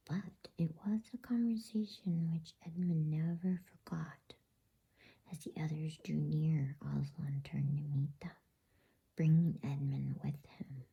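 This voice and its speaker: A woman reading a bedtime story in ASMR style, with a hushed, breathy, husky whisper and an unhurried manner. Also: sits low, lo-fi sound.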